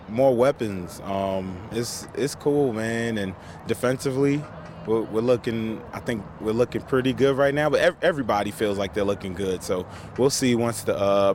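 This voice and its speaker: A man's voice: on the low side, normal speed, a little mellow. A man, a football player, chatting casually in an unscripted interview. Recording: faint outdoor traffic noise.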